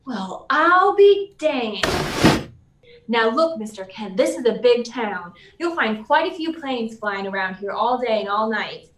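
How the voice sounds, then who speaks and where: An actress playing a brash, sarcastic young newspaper reporter in a 1940s-style radio drama, needling and skeptical, in a roomy distant recording. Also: female voice, fairly high pitch, sing-song, unhurried, fairly bright tone, a little hiss or hum. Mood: scornful. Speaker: actor in a live radio play. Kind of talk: 1940s radio serial acting. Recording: roomy, echoing, off the mic.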